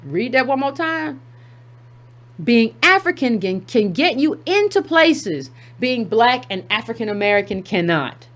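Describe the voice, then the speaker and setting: Emphatic woman reading aloud, fairly high voice. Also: big pitch swings, unhurried, fairly bright tone. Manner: emphatic, repeats key phrases for effect. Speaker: commentary channel host. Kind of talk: reading a text aloud with running commentary.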